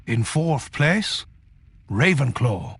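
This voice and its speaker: An actor playing an elderly, solemn wizard headmaster in a video game, speaking with measured formality in a gravelly, husky voice. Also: male voice, mid-range voice, sing-song, noisy background, exaggerated voice. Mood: solemn, ceremonial. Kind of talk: ceremonial speech in a video game.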